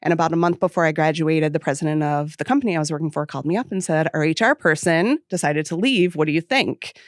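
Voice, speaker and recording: Podcast guest, woman in her 40s from central New York, lowish voice, chatty storyteller, dry close mic. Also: quick pace, no echo. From central New York state. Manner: chatty storyteller, laughs easily. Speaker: guest, home baking business owner. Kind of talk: casual podcast interview.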